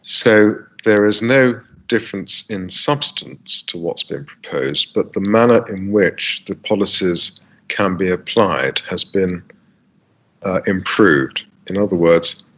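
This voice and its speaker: A man with a British accent speaks at a planning hearing over a video call. His voice is very deep and bright, with a husky, croaky edge from a dry throat. He talks haltingly, with many fillers and false starts. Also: rough recording. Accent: British English. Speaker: council planning witness. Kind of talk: formal hearing statement. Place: air-conditioned room.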